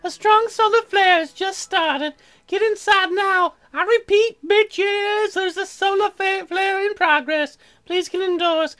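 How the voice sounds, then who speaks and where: A brash female doctor making announcements in a visual novel game. The voice is very high and sharp, with crude, unbothered delivery. Faint music plays behind it. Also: measured pace. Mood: brash, announcing.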